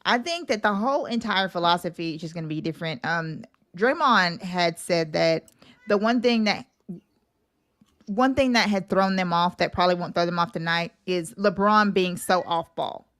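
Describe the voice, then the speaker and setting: A woman's voice: on the low side, moderate pace, fairly bright tone. A woman guest on a sports talk show, chatting casually and colloquially with plenty of opinion. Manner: conversational, colloquial phrasing.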